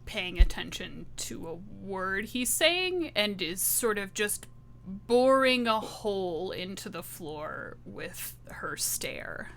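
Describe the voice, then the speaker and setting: Female tabletop player: very slow pace, medium pitch, narrates her character. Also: sing-song, a bit bright, slight background noise. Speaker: player in a tabletop game podcast.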